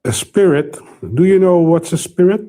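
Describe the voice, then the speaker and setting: A man's voice: sits high, normal speed, dark tone. A man in his forties with a Guyanese accent, a native Creole speaker, debating casually and searching for words. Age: 40s. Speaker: guest debater.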